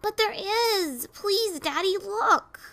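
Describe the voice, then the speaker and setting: A woman's voice: very high-pitched, expressive, slow, a bit bright, voice pushed up, full character voice. A woman voicing a frightened little boy, earnest and childlike, in a horror story. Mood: pleading, scared.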